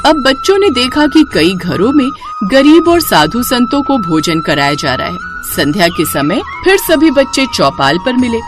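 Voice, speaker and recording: A woman narrates a scripted devotional tale. Her intonation swings in a lively, expressive way, and music plays clearly behind her. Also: high voice, moderate pace, few pauses.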